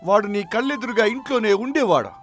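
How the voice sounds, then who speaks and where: A man's voice: sits high, expressive, quick pace, fairly bright tone, soft background music. A man playing an agitated, blunt village neighbour in a story, with rustic, exclamatory, lamenting speech. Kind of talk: moral-story dramatization.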